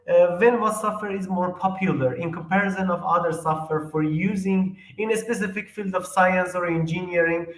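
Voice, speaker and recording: Guy presenting at a workshop, lots of uhs, slightly high voice, echoey room. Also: fairly bright tone. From Iran. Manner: hesitant, heavy with filler sounds. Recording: roomy echo.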